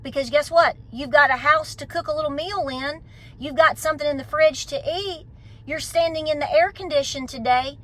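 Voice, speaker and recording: A woman's voice: sits high, normal speed, a bit bright. A middle-aged woman with a Southern Appalachian accent, giving an emphatic, folksy pep talk in a casual vlog style. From United States. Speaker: homesteading vlogger.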